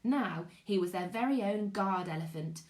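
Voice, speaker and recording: Lady teacher reading a kids book live, expressive, echoey room. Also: mid-range voice, normal speed. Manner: expressive storytelling with character voices. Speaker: teacher reading to pupils online. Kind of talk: live read-aloud of a children's novel with chat to listeners.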